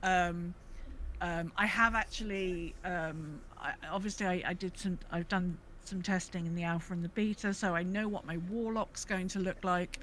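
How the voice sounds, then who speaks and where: A woman's voice: fairly low pitch, fairly even intonation, moderate pace, fairly bright tone, a little hiss or hum. A woman chatting casually and enthusiastically, as a co-host on a gaming podcast.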